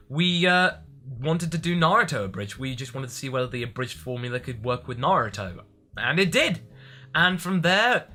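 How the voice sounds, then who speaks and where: A man's voice: a little high, pushed and bright. A man speaking expressively as a vlogger answering viewer questions, stressing and stretching words for emphasis. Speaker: vlogger and anime parody voice actor.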